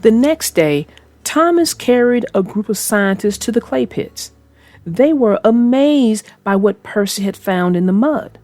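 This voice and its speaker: A woman reading a children's storybook aloud in a slow, expressive way, then chatting casually about it. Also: a little high, no echo. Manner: slow, expressive read-aloud with character voices. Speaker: storybook reader and co-host.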